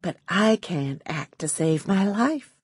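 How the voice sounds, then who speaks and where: A woman's voice: on the low side, animated, slow, husky, dry recording, exaggerated voice. A woman narrator puts on a glamorous female film star in an audiobook mystery. She is poised and shrewd, with knowing amusement under the polish. The recording is dry and close. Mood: amused candor.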